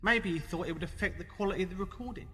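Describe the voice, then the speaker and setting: A man's voice: high-pitched, very fast, sharp tone, a little hiss or hum. A man playing a younger, matter-of-fact police subordinate reporting details in a radio play, in a reverberant room with music behind.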